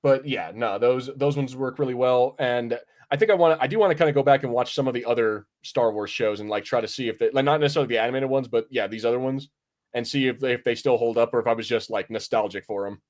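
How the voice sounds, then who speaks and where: A man's voice: medium pitch, fast talker. Casual, sweary man on a review podcast. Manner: sweary, rambling, informal.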